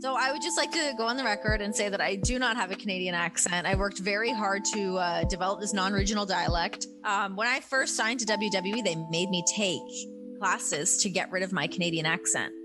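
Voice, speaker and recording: A woman's voice: medium pitch, brisk. A woman talking casually on a podcast, with frequent fillers. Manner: chatty, with fillers like "like" and "um". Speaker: podcast host. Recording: podcast clip under loud music.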